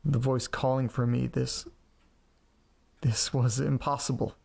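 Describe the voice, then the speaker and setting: An actor playing a quirky, scatterbrained man in an audio drama, talking in a casual, halting way. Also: mid-range voice, steady tone, creaky, a little mellow, breathy edge. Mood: grave, haunted. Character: quirky, scatterbrained man living with pets and old letters. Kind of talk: audio drama acting, first-person narration and casual dialogue.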